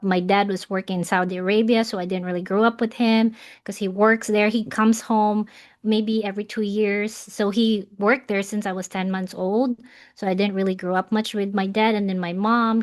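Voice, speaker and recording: A woman in her forties, raised in the Philippines, talks as a guest in a casual interview. She speaks earnestly at a middling pitch and fills her pauses with ums. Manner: earnest, many ums, warm thanks. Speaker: guest life coach.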